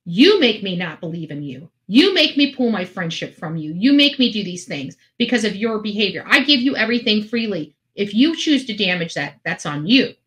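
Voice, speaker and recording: A woman's voice: mid-range voice, very animated, moderate pace, hardly any pauses, fairly bright tone. A woman host talking casually and ramblingly to her online followers, earnest and emphatic, often repeating phrases for effect. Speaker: online video channel host.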